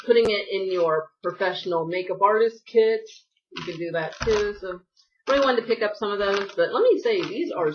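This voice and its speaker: A woman's voice: medium pitch, a little hiss or hum. Woman, casual haul vlog, rambling, sniffly, slightly distant mic, muffled recording. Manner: chatty, rambling, apologetic asides. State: sniffly from allergies. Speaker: beauty vlogger.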